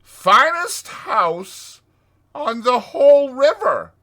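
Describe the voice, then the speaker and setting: A man voicing a boastful, excitable toad in a dramatized storybook reading, loud and gushing. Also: very high-pitched, takes it slowly, voice pushed up, full character voice. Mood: boisterous, boastful.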